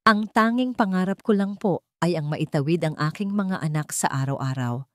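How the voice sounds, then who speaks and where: A woman voicing a confident, sincere self-made businesswoman and mother in a dramatic story, speaking clearly and earnestly. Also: lowish voice, moderate pace, dry recording, lightly acted. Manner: clear, earnest, formal speechmaking.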